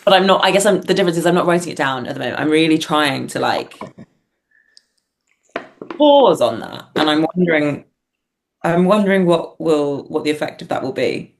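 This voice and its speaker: A woman's voice: deep voice, animated, normal speed. A British woman talking as a podcast guest in a casual, reflective way, thinking aloud with some self-deprecation. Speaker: podcast guest, playwright and performer. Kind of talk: casual, reflective interview chat.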